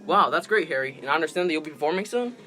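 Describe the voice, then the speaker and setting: A man playing a brisk, folksy, businesslike host of a 1920s radio talk show. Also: on the high side, expressive, bright, forceful tone, soft background music. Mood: curious. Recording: noisy amateur recording.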